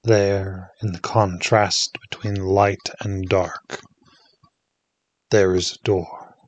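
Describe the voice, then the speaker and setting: A man leading a guided meditation in a soothing, hushed manner, leaving long pauses between short phrases. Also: low voice, flat delivery, no echo.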